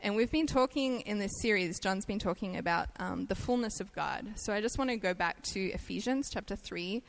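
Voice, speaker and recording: An Australian woman delivering a sermon in a relaxed, conversational way. Her voice sits at an ordinary middle pitch, and she laughs easily at herself between points. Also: brisk, gravelly, a little hiss or hum, poor audio. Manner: chatty and self-deprecating, laughs easily. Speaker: guest preacher.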